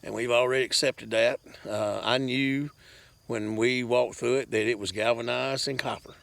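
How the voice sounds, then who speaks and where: A man's voice: mid-range voice, fairly even intonation, normal speed, creaky, fairly bright tone, dry recording. A man gives a casual, rambling update to viewers in a folksy, plainspoken way, speaking outdoors into a handheld camera. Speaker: farm vlogger. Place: outdoors on a farm.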